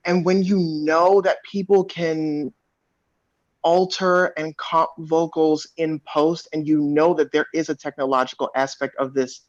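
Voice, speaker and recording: A man with a slightly high voice talks through his experience as an actor. His phrasing is thoughtful and measured, and the sound is very dry and a bit dull. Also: no room sound. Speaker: actor on a panel. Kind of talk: casual reflective panel talk.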